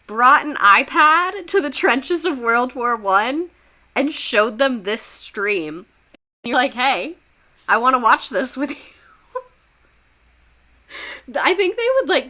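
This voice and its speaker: A woman's voice: high voice, pushed and bright. A young woman teacher with a Southern US accent, giving a rambling, chatty history lesson on a livestream, full of fillers and laughs.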